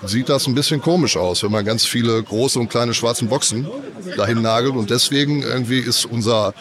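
A man's voice: mid-range voice, brisk, crowd noise. A man with a cold, explaining audio tech at a trade fair in a loose, filler-heavy way. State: has a cold. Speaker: audio company representative. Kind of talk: casual trade-fair interview.

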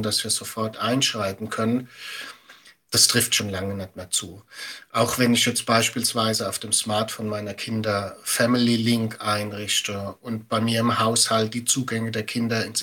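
A middle-aged man giving measured, practical explanations as an expert guest in an interview. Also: mid-range voice, sharp tone, husky. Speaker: media education expert, podcast guest.

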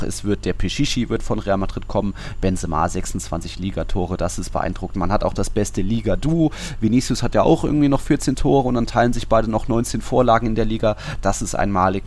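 A man's voice: fairly low pitch, a little mellow. A man hosting a football podcast, talking through results and statistics in a detailed, enumerating way. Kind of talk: sports podcast talk, running through statistics.

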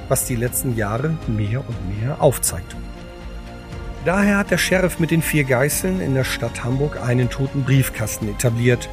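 A man narrating a scripted audio drama in a dramatic storytelling style. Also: medium pitch. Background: music.

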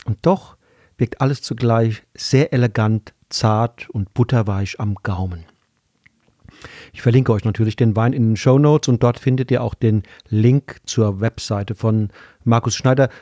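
Mellow-voiced male podcast host. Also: fairly low pitch, no echo, clean studio sound. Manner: friendly, recommends and promotes. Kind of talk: scripted podcast outro.

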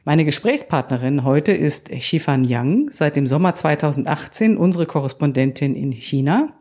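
A woman editor interviewing a colleague, asking measured questions with small restarts. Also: low-pitched, lively intonation, dark tone. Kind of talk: podcast interview.

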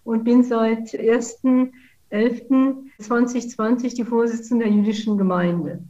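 Woman, mellow voice. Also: on the high side, steady tone, lo-fi sound. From Saarland, Germany. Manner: measured, explanatory. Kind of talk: interview answers.

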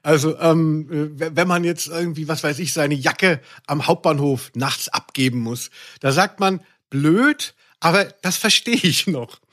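A man's voice: a little high, a little slow, a bit bright. A man hosting a podcast in casual chat, wordy and playfully ironic. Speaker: podcast host.

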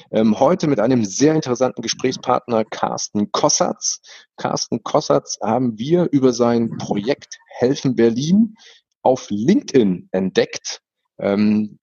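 A man hosting a podcast with an unscripted introduction, speaking in halting, hesitant phrases. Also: mid-range voice, mellow, husky, dry recording, dull audio. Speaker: podcast host.

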